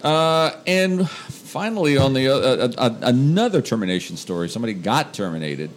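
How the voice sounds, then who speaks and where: A man's voice: medium pitch, sing-song, normal speed. A man co-hosting a podcast in casual chat, rambling with many uh's and restarts. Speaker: podcast co-host.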